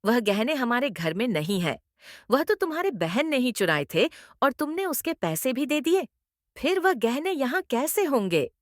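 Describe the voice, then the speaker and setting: A woman acts out a scheming middle-aged mother-in-law from a cartoon. Her voice is fairly high and swings widely in pitch. She is theatrical and domineering, recorded close and dry. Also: sing-song, moderate pace, no echo. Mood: defensive. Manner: scolding, theatrical, emphatic. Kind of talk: Hindi moral-story cartoon voice acting.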